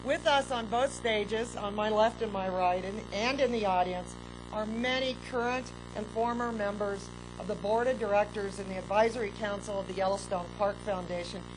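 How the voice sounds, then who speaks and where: Woman host at an outdoor podium, formal and gracious, mid pitch, noisy open-air PA sound with hum. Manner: measured, gracious, with occasional fillers. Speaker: park superintendent giving ceremony welcome. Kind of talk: formal outdoor ceremony speech. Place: outdoors at a public ceremony. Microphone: podium microphone with PA.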